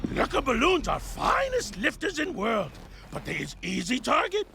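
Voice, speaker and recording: An actor playing an excitable, eager balloon flyer in a video game, speaking broken English with clipped grammar. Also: high-pitched, moderate pace, gravelly, full character voice. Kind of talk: cartoonish video game acting. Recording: music behind the voice.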